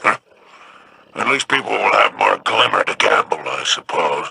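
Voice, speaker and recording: An actor voicing a greedy, grumbling male alien crime boss in a video game, with a gravelly croak and dry, grudging delivery. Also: a bass voice, huge pitch swings, moderate pace, bright, forceful tone, heavily acted. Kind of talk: video game character voice lines.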